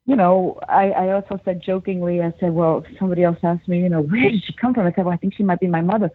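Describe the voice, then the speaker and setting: A woman's voice: on the low side, fast, a crowd in the background. A middle-aged woman, an actress, chatting in an interview, telling stories animatedly and acting out quotes with frequent laughter. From United States. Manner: animated storyteller, acts out quotes, laughs often. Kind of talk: casual interview chat. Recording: tinny phone-line sound.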